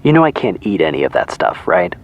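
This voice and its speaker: An actor playing a teasing, dry-humored friend on the phone. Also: male voice, deep voice, sing-song, quick pace, lo-fi sound. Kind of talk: web series drama acting.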